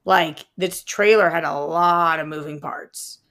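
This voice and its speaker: Casting: young female podcast co-host, lowish gravelly voice, measured and thoughtful, casual. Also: fairly bright tone. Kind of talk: casual pop-culture chat.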